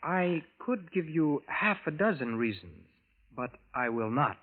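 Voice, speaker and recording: A man acting in a 1940s radio drama as a cryptic visitor from the future, speaking in measured, formal phrases. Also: on the high side, big pitch swings. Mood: cryptic, solemn. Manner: measured, formal, avoids contractions. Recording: tinny vintage radio broadcast with music.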